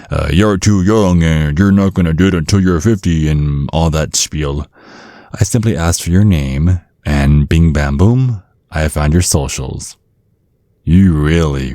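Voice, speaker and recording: A man's voice: rumbling low, mellow, dry recording, clean studio sound. A man playing a centuries-old vampire father in an ASMR roleplay, protective and slyly polite, teasing with veiled threats. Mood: veiled menace. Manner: mock-courteous, insinuating, teasing with veiled threats.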